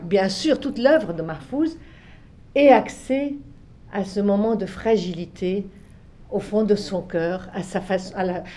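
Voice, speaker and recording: An older woman from Egypt, a literary translator with both Arabic and French as native languages, recalling her work in a reflective way with many restarts and soft trailing phrases. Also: medium pitch. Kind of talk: relaxed spoken recollection.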